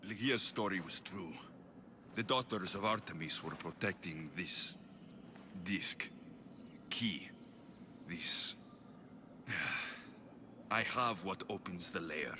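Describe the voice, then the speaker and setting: Low-voiced, resolute warrior hero. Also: male voice, slow, pauses often, noisy background. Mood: earnest. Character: brave, blunt adult Greek mercenary warrior hero. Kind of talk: dramatic video game acting.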